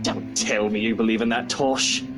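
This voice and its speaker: A woman voicing a cocky, teasing, sceptical mansion heir in a visual novel, sardonic and animated. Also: a bass voice, normal speed, music in the background. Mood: dismissive, amused. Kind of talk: visual novel character acting.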